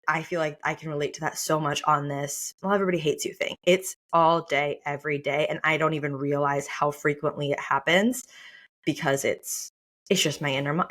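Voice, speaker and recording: A woman with a distinctly low voice talks as a podcast co-host in candid conversation. She is open and self-reflective, confiding as she goes.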